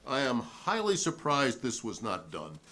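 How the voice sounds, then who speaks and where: A man's voice: medium pitch, huge pitch swings, sharp tone, heavily acted. A man reading the part of a defiant, principled witness at a 1950s congressional hearing, speaking formally and emphatically in a dramatic reading. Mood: emphatic, indignant. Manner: emphatic, formal, deliberate repetition.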